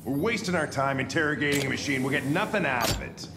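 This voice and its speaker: A man's voice: mid-range voice, lively intonation, fast, close to an everyday voice. A man playing a cynical, impatient older police lieutenant in a video game, brusque and foul-mouthed. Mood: frustrated. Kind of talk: cinematic video game acting. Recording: roomy, with music behind.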